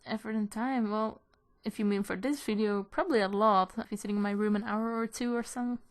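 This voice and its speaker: A woman chats casually as she answers questions from viewers. Her voice sits at a medium pitch with a noticeable creak. Her delivery is informal and a little hesitant, as in a homemade video from her own room. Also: steady tone, a little fast. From Belgium. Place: in a room at home.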